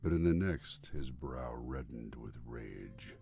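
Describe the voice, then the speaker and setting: A man narrating a gothic horror story in a solemn, theatrical, deliberate way, as a voice-over narrator. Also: a bass voice, lively intonation, creaky, warm tone, breathy edge. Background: music.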